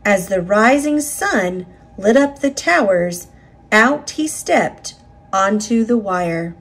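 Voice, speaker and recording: A woman reading a children's picture book aloud in a deliberate, dramatic storytelling manner. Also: mid-range voice, lively intonation, breathy edge. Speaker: storybook reader.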